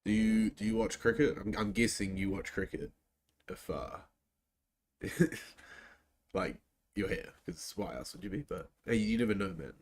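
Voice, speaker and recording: Young male Kiwi streamer, relaxed chatty delivery, slightly low voice, New Zealand accent. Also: a little slow, pauses often, a little hiss or hum. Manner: laid-back, chatty, restarts often. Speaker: live stream host. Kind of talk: casual live reaction commentary.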